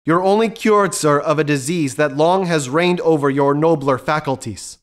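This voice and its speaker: A man plays an earnest physician and counselor in a period audio comedy. His slightly high voice is formal and measured, reasoning persuasively like a gentleman. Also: lightly acted. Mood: earnest, soothing.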